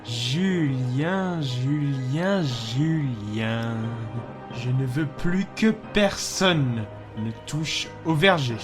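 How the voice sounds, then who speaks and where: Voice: medium pitch, expressive, extremely slow. An actor playing a giant serpent guarding an orchard, solemn and commanding, in a horror audio drama. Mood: ominous. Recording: music behind the voice.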